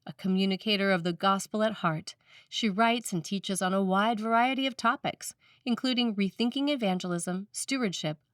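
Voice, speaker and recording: A woman priest reading a scripted sermon in a measured, deliberate way, with pauses for emphasis. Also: medium pitch, fairly bright tone, dry recording. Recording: slightly distant microphone, dry room.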